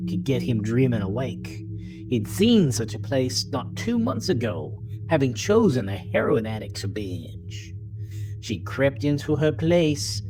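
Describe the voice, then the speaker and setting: A man narrating a horror story in a theatrical, deliberate, brooding way, as scripted audio drama. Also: medium pitch.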